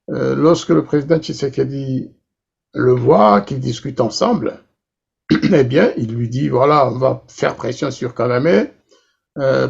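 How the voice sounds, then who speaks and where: Casting: male talk-show interviewer, gravelly, hesitant, many fillers, dull lo-fi sound. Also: mid-range voice, a little mellow. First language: not English. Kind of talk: political interview questions.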